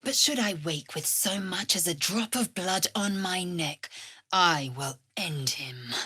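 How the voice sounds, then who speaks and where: A woman voicing a fierce, haughty githyanki warrior in a video game, with a husky rasp and an imperious, clipped, formal way of speaking. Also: lowish voice, slow, sharp tone, dry recording, studio quality, heavily acted. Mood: proud, dry. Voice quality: husky, raspy. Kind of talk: video game character voice lines.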